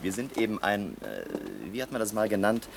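A man's voice: lowish voice, huge pitch swings, a little fast, gravelly, noisy background, exaggerated voice. A man acting a sly, talkative, ironic island local in a 1960s German radio drama. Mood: wry, hospitable.

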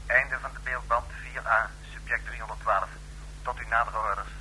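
A man's voice: mid-range voice, fairly even intonation, moderate pace, bright, forceful tone, rough recording. An actor playing a methodical male chief medic of a regime laboratory in a radio drama, formal and clinical. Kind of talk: radio drama acting in Dutch. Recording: radio drama with faint noise.

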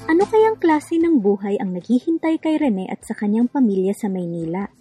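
A woman's voice: medium pitch, big pitch swings, moderate pace, dark tone, faint music behind. A woman presenting a radio drama series with scripted narration.

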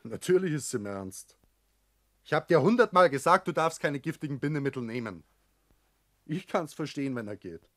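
An actor with a Bavarian accent playing a builder's ambitious, rebellious grown son in a 1960s German radio drama, blunt and reproachful. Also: male voice, fairly high pitch, animated, moderate pace. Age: young adult.

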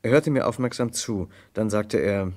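An actor playing an earnest, courteous gentleman who narrates and assists a detective in an old German radio crime drama, with formal, precise diction. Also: fairly low pitch, normal speed, lightly acted. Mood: composed narration.

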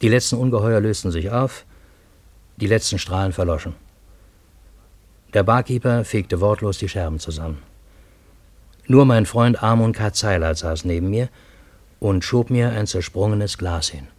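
A lowish, measured voice of a male actor narrates a radio play in the first person. The storyteller is ironic and bewildered, with literary phrasing and a close, dry sound. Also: darkish tone, no room sound, lightly acted. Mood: wondering, ironic.

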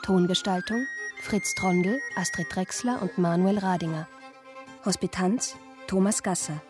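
A woman's voice: fairly low pitch, fairly even intonation, unhurried. A woman reading out the cast and production credits of a radio play in a scripted announcement. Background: music.

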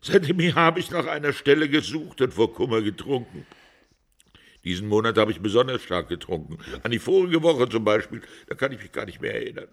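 An actor playing a distraught, hard-drinking husband under questioning, halting and anguished and sinking into breathy near-whispers, in a German radio drama. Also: male voice, mid-range voice, sing-song. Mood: weary, sorrowful.

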